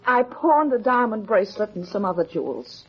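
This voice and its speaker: A scared young suspect in vintage radio melodrama. Her husky, mid-pitched voice halts and pleads as she defends herself. The old recording is dull and muffled. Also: very animated. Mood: anxious confession. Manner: halting, breathless, pleading. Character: frightened, defensive young woman, a murder suspect. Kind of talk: 1950s radio melodrama acting.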